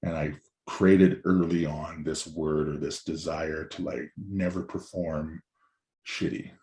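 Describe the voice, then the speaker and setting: A man in his forties, a musician guest with a raspy, hoarse voice, talking in a loose, rambling way that is sprinkled with swearing. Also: very deep voice, fairly even intonation, slow, dark tone. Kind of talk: casual chat.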